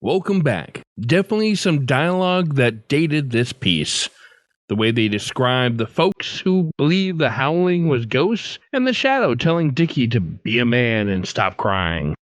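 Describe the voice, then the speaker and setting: Lively-pitched man hosting a podcast. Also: unhurried, dry recording. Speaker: podcast host. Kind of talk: scripted podcast outro.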